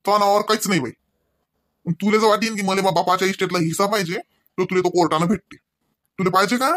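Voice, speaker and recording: A man's voice: sits high, steady tone, fast, a bit bright, dry recording, clean studio sound. A man acting a stern, pleading old village father in a comedy cartoon, lecturing insistently in a rural Varhadi Marathi accent with questioning tags. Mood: firm, questioning. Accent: Varhadi Marathi, rural Vidarbha.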